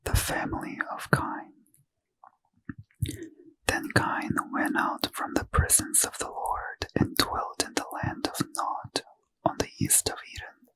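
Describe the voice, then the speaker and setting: A man softly whispering a Bible reading in ASMR style, close to the mic. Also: no echo, rough recording. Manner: slow soft whisper, unvoiced throughout. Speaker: reader.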